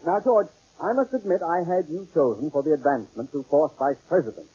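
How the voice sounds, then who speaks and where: An actor playing an older, blustery bank boss with a raspy voice in an old radio sitcom. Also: male voice, fairly high pitch, brisk, warm tone. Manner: blustery, repeats himself. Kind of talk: 1950s radio sitcom acting. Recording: dull vintage radio recording.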